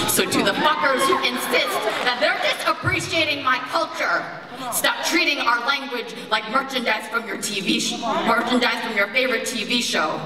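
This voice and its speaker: A teenage girl performs slam poetry with impassioned, rhythmic phrasing and pointed pauses. Her voice sits at an ordinary middle pitch. It rings through a big reverberant hall, heard from a distance, with a live crowd audible behind her. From United States. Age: teens. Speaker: slam poet. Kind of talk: spoken word poetry performance. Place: large performance hall, on stage. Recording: echoey hall from far off, crowd noise. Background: live audience.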